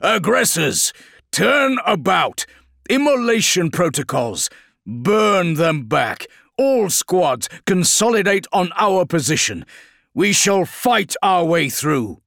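A man voicing a commanding armored space marine captain barking forceful battle orders in a dramatic audiobook. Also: high voice, very animated, slow pace, gravelly, no room sound, studio quality, voice pushed up, full character voice. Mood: urgent, commanding. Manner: barked, forceful military orders shouted over battle. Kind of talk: dramatic audiobook character acting.

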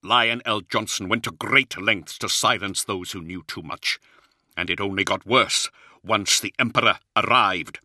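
A man voicing an anguished, bitter knight commander sliding toward madness, impassioned and full of weighted pauses, in a dramatic audiobook. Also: on the low side, pushed and bright, dry recording, clean studio sound, exaggerated voice. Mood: bitter accusation. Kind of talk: dramatic audiobook character acting.

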